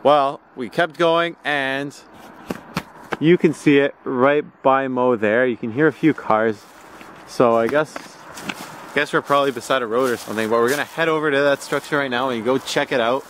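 A man's voice: medium pitch, moderate pace, a bit bright, a little hiss or hum. A man in his twenties vlogging to his viewers, upbeat and hyped, filming himself outdoors in the woods at arm's length. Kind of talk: energetic vlog shout-outs and hiking commentary. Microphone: handheld camera at arm's length.

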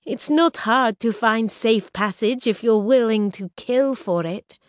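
A woman voicing a proud, demanding young princess in an audiobook reading, speaking high and forcefully. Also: measured pace, no room sound, clean studio sound, close to an everyday voice. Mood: insistent.

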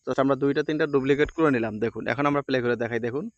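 A man walks listeners through an on-screen task in an informal way. He talks quickly and repeats his pointers as he goes. The recording is close and dry. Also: mid-range voice, no room sound, dull audio. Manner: repetitive, instructional phrasing. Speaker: video editing tutorial presenter. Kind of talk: informal step-by-step screen tutorial.

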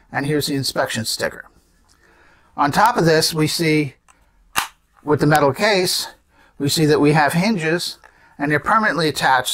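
Man, seventies, slightly raised pitch, explanatory, unscripted demonstration, presenter of old gadgets. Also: fairly bright tone. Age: 70s. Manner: explanatory, asks and answers own questions.

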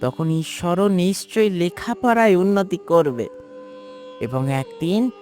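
A man's voice: high voice, takes it slowly, a little mellow, faint music behind. A man voicing a loyal household servant in a cartoon, deferential and reassuring. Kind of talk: Bengali moral cartoon voice acting.

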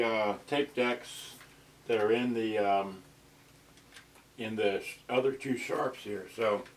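An older man in his sixties giving a casual unscripted demonstration, halting and muttering to himself as he tinkers. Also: mid-range voice, fairly bright tone, slight background noise, poor audio. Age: older, 60s. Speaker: vintage boombox collector presenting his channel. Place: cluttered indoor storage room. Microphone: camera microphone, tripod then handheld.

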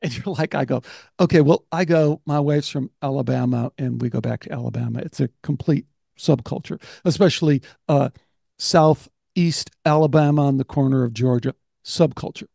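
A man's voice: mid-range voice, normal speed, creaky, no echo. A man in his sixties, a podcast host, chatting animatedly on a video call into a studio mic. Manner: animated storyteller, quotes others in voices. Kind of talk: casual conversation. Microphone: large studio mic on arm.

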